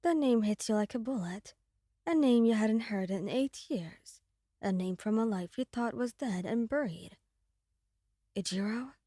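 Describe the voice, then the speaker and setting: A woman's voice: a little high, lively intonation, moderate pace, mellow, no room sound, clean studio sound. A woman reading scripted dramatic narration as the storyteller of an ASMR audio roleplay.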